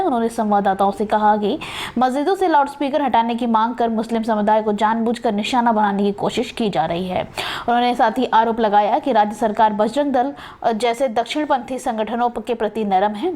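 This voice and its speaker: A woman's voice: fairly high pitch, a little mellow. A woman news presenter reading a scripted news bulletin.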